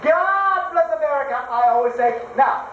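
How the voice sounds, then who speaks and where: A man's voice: extremely high pitch, animated, normal speed, a bit bright, a little hiss or hum. A man voicing a fussy, middle-aged café owner with a thick Italian accent who talks in broken English and keeps tagging "eh?" onto his lines. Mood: indignant. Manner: broken English, tag "eh?", apologetic yet sly. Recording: echoey, distant, dull amateur recording.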